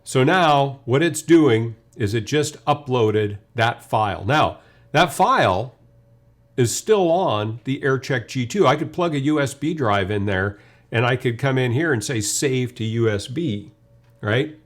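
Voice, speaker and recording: A man's voice: medium pitch, lively intonation, measured pace. A man gives an informal technical demonstration as a webinar presenter, talking through each step conversationally. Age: 50s. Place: indoor video studio.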